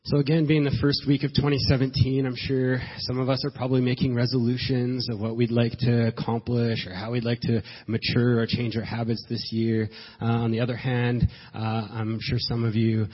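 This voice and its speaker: Sick male pastor, stuffy and nasal, husky edge, earnest halting delivery, dull recording with a long hall echo. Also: medium pitch, flat delivery. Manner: earnest, halting restarts, slow emphatic phrasing. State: stuffed up from a cold. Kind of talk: sermon. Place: church sanctuary.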